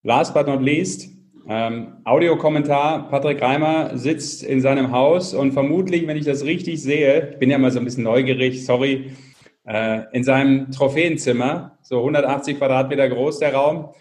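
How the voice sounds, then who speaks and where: Male interviewer, average pitch, casual podcast chat, loose conversational phrasing. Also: steady tone, moderate pace, a little mellow. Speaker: podcast host.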